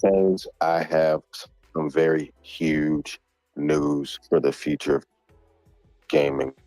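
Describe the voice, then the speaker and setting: A man joining a podcast as a regular, talking casually in an unhurried, chuckling way. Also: rumbling low, steady tone, dark tone, no echo, faint music behind. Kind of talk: casual chat.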